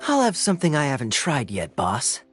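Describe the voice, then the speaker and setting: Roguish knight, very animated swooping intonation, suave, teasing, playful, video game dialogue, faint music. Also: male voice, fairly high pitch, a little fast, exaggerated voice. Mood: smooth, welcoming. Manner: smooth-talking, playful, dryly theatrical. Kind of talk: English video game voice acting.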